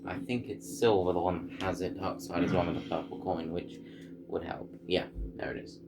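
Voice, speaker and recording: Very deep-voiced man commentating on a game. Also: steady tone, moderate pace. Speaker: gameplay video host. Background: loud game music.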